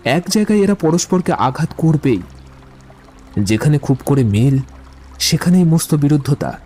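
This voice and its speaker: A man playing a romantic, brooding poet in a literary audio drama, speaking in a reflective, measured, lyrical way. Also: fairly high pitch, expressive, dark tone, close to an everyday voice. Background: music.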